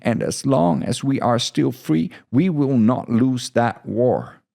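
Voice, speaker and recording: A man's voice: medium pitch, slow, creaky, dark tone, pitched above the performer's own voice. Man playing zealous, emphatic military commander. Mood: fervent, defiant. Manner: declamatory, emphatic, oratorical.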